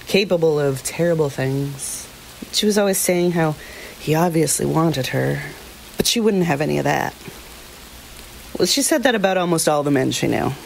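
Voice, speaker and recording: An actress playing a weary, uneasy small-town woman, recollecting in a hesitant, plainspoken, confiding way with pauses, as testimony in an audio drama. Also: sits low, vocal fry, a little mellow, slight background noise, low-quality recording. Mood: wary.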